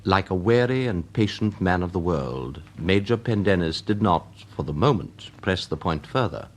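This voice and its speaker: The urbane English storyteller of a Victorian radio drama. His low, slightly husky voice moves with lively intonation. The delivery is measured, polished and wry. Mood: wry, composed. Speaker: narrator. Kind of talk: scripted literary narration for radio drama.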